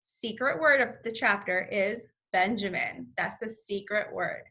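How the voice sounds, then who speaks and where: A woman teacher reading a children's story aloud, pausing to explain words simply and check understanding. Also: mid-range voice, sharp tone. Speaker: first grade teacher. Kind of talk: children's read-along with word explanations.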